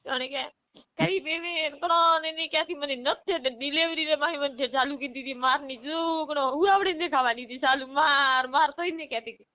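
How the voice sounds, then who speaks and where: High, bright Rajasthani woman on phone. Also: moderate pace, no echo. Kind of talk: casual phone conversation. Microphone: telephone. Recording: dull lo-fi phone call recording.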